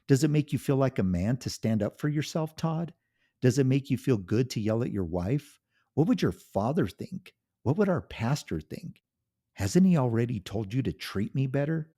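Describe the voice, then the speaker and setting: A cutting, condescending wife sneers and taunts in a lively, swooping voice, as a male narrator puts her on. The tone is soft and husky underneath the scorn. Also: mid-range voice, voice pushed up. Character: scornful cold-hearted wife, adult woman.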